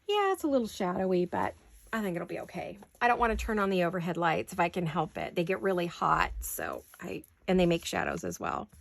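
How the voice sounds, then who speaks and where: A woman with a sore throat chatting casually to viewers while she crafts at home, thinking out loud as she works. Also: on the low side, big pitch swings, fairly quick, gravelly, dry recording. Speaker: craft vlogger. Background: scissors and crafting clicks.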